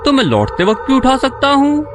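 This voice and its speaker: A man's voice: extremely high pitch, wildly swooping pitch, brisk, full character voice. A man voicing a greedy, scheming village man in a cartoon story, boasting and plotting to himself, with music playing underneath. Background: background music.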